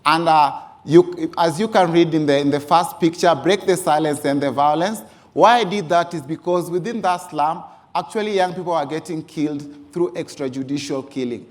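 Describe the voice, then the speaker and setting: A man's voice: on the high side, moderate pace, hardly any pauses. A man from Kenya with a Kenyan accent, a youth organization founder giving a personal presentation and often stressing "actually". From Mombasa, Kenya. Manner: frequent emphatic use of "actually". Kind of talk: personal presentation with photo slides.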